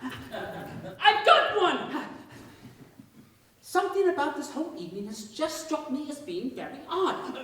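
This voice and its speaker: A woman playing an eager, bumbling young man in a staged radio play, halting and excitable, recorded echoey from far off. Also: high-pitched, full character voice. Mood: excited. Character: eager, bumbling, self-deprecating young man, nervously trying to take charge.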